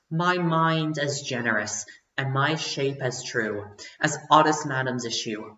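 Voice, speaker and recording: A young woman performs a resentful, defiant outcast of Shakespearean tragedy. The voice is low and forceful, with slow, deliberate declamation. The recording is roomy and slightly muffled. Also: exaggerated voice. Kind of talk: Shakespearean soliloquy. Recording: roomy, dull sound.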